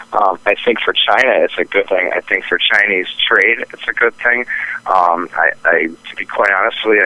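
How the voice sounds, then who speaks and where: A man's voice: sits low, dry recording. A man giving market commentary as a phone interview guest, hesitant and repetitive but opinionated, on a dull phone line. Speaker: trading firm president, interview guest. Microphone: telephone.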